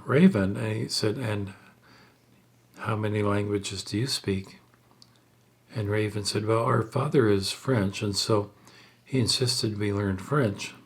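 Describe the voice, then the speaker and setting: A man telling a story aloud in a halting, measured way, unpolished and in short phrases. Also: on the low side.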